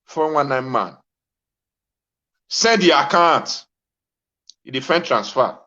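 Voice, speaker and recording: A man's voice: medium pitch, expressive, slow, sharp tone. A Nigerian-accented man delivering an impassioned informal rant to his audience in Pidgin, exclamatory and insistently repeating his phrases. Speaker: talk show host. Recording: dull lo-fi audio.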